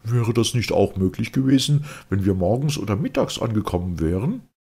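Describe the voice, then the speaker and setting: A man's voice: lowish voice, sing-song, brisk, creaky, warm tone, breathy edge, pitched above the performer's own voice, exaggerated voice. A man voicing a curious, wondering earthling on a space voyage, with a naive, questioning manner, in an audiobook. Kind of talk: audiobook character dialogue.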